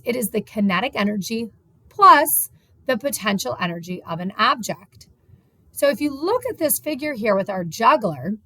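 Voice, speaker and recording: A woman's voice: medium pitch, a bit bright, no echo. A woman science teacher reading a textbook lesson aloud, explaining it step by step and repeating key points.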